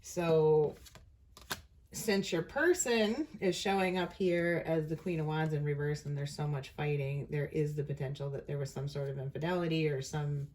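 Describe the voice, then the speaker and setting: A woman with a low-pitched voice gives an off-the-cuff tarot reading. She talks in a casual, conversational way as the reader. She thinks aloud and often pauses between phrases. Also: a little mellow, a little hiss or hum.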